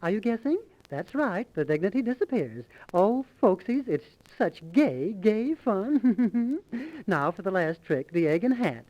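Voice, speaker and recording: A man's voice: sits high, big pitch swings, unhurried, darkish tone, muffled recording. A man playing a gushing, playful lady who teaches parlour tricks in an affected singsong, in 1930s radio comedy.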